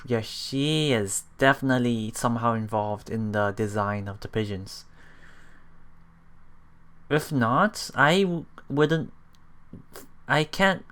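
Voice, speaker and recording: A man's voice: medium pitch, a bit bright. A man hosting a let's play, reading a visual novel aloud and adding casual reactions, sometimes repeating himself. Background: game music.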